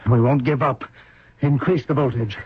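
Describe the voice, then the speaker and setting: A man's voice: mid-range voice, fairly even intonation, moderate pace, slight background noise, close to an everyday voice. Steadfast emergency doctor, acted by a man, mid-range voice, clipped and grave, dull vintage radio recording. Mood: urgent command. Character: determined, businesslike emergency room doctor. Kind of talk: old-time radio drama acting. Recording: muffled radio drama recording.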